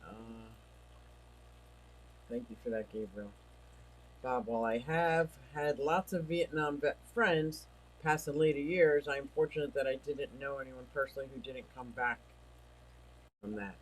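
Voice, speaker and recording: A man's voice: medium pitch, unhurried, pauses often, slight background noise, rough recording. A man hosting a casual livestream, chatting and reading viewer comments aloud, feeling like he is losing his voice. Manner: rambling, chatty, reads chat messages aloud. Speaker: livestream host. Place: home.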